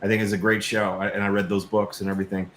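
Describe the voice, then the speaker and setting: Middle-aged male guest, lowish voice, roomy echo with faint noise behind, emphatic and stammering in casual conversation. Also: little pitch movement, rapid-fire, a bit bright. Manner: effusive, emphatic, stammering and repeating words. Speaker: guest, science fiction creator and designer. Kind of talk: casual interview chat.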